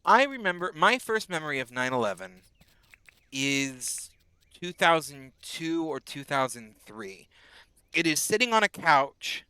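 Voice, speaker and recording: Chatty young man streaming, bright voice. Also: fairly high pitch, big pitch swings, unhurried, clear, resonant tone, no echo. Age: 20s. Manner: rambling, chatty, answers chat messages. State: a bit sick, on bed rest. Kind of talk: casual live-stream chat while gaming.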